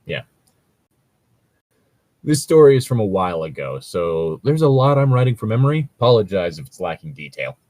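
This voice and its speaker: Low husky dude reading gaming posts, wry and sweary, really lively delivery, chatty. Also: male voice, normal speed, long pauses, no echo. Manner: profane, wry, joking. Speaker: co-host reading online tabletop gaming horror stories. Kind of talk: casual banter mixed with reading posts aloud.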